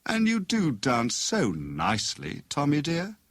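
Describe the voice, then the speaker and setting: A man voicing a witty, sharp-tongued young wife with a withering, coaxing and brisk manner in an audiobook. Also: on the high side, wildly swooping pitch, breathy edge, no room sound, clean studio sound, pitched above the performer's own voice, heavily acted. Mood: teasing, coaxing.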